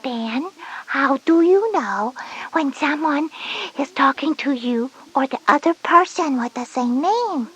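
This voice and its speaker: A woman playing a curious, slightly stubborn young kindergarten girl with a breathy, childlike voice in an educational audio story. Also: high voice, animated, slow pace, fairly bright tone, heavily acted, rough recording.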